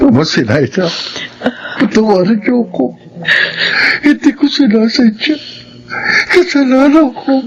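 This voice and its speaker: A grieving man racked with guilt, his high voice swinging with emotion. He pleads slowly in radio drama style, in a dull, lo-fi recording. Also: sing-song, slightly rough, exaggerated voice. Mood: anguished, pleading. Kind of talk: Filipino radio drama acting. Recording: dull lo-fi radio sound, faint noise.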